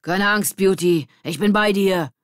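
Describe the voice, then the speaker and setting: A woman voicing a swaggering schoolboy who plays a tough bodyguard, loud and commanding, in an audiobook. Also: mid-range voice, a little slow, no room sound, clean studio sound, voice pushed up, natural voice. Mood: protective bravado.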